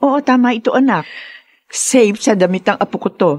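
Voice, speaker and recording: A woman's voice: a little high, normal speed, darkish tone, no echo, lightly acted. A Filipino woman acting a caring, chatty older grandmother in a radio drama, homely and fussing. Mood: pleased, approving.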